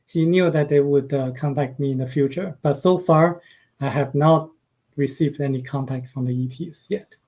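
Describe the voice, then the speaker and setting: A man's voice: a little high, moderate pace, dark tone. A man from China with a Chinese accent, a radio interview guest speaking hesitantly with many fillers. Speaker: guest author and translator.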